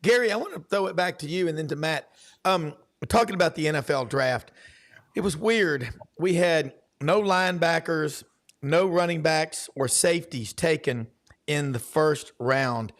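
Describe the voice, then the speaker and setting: A man's voice: a little high, normal speed. A man hosting a sports talk show in a casual, joking, chummy way.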